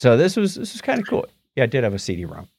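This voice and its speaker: A man's voice: mid-range voice, fast, vocal fry, no echo. A man co-hosting a casual tech podcast, rambling and correcting himself as he talks. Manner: rambling, self-correcting, lively. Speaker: podcast co-host.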